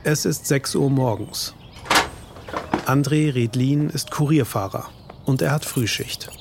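A man's voice: mid-range voice, measured pace, creaky, background noise. A man reading scripted true-crime documentary narration in the present tense.

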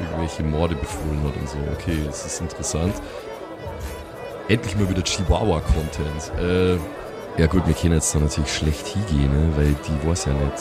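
A man chatting casually as a player in an improvised tabletop audio play. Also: sits low.

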